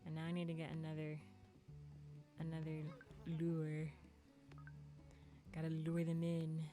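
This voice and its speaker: Laid-back woman streamer with a mellow voice. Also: low voice, completely flat delivery, measured pace, soft background music. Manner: playful, giggly, self-deprecating. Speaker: video game livestreamer. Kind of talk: casual livestream chat while gaming.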